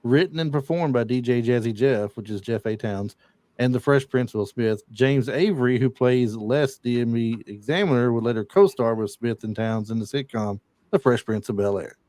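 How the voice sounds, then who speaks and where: A man's voice: medium pitch, normal speed, mellow, no room sound. A man hosting a casual podcast, retelling movie scenes and reading off film trivia with lots of fillers. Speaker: podcast host. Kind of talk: casual chat.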